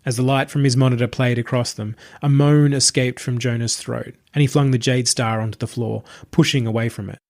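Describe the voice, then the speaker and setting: A man's voice: mid-range voice, steady tone, brisk, hardly any pauses, a little mellow. A man narrating a scripted short story as the host of a fiction podcast.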